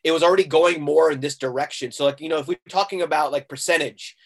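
A lively, slightly high-voiced man from Hong Kong talks casually in an interview. He tells stories with energy and acts out both sides of a conversation. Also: fast, gravelly. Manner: animated storyteller, acting out quoted exchanges. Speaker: guest musician.

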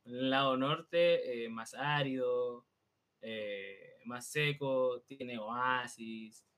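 Young man, Chilean accent, casual podcast chat, hesitant, middle pitch. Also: very slow, a bit bright. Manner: hesitant, explanatory, many fillers. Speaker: podcast co-host.

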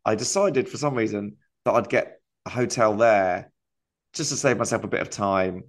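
A man's voice: lowish voice, moderate pace. A man co-hosting a comedy podcast, telling a rambling travel anecdote in casual chat and laughing often.

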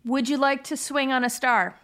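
A woman co-hosting a podcast in casual chat, giggly and excitable. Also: high-pitched, moderate pace. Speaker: podcast co-host.

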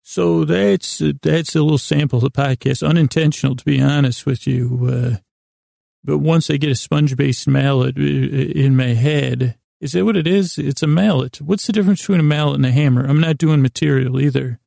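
A man hosting a sleep podcast with a gravelly voice, improvising a meandering, whimsical monologue that often trails off and restarts. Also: a little high, moderate pace, no echo, studio quality. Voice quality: gravelly, creaky. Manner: gently absurd, whimsical musing. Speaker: podcast host.

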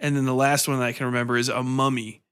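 A man's voice: medium pitch, flat delivery, quick pace, a bit bright, clear, resonant tone. A man in his thirties hosting a casual interview, talking in a chatty, informal, anecdotal way. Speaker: podcast host.

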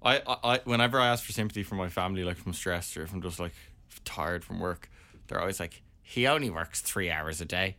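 Low, bright man rambling. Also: fairly quick. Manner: rambling, lots of "like" fillers. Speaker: podcast co-host, radio presenter. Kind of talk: casual chat.

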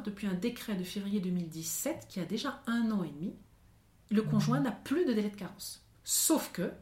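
A woman's voice: a little high, a little mellow. Woman explaining rules in an echoey room. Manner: precise, methodical explaining. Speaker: guest explaining social security rules. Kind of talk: explanatory podcast talk. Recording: roomy echo.

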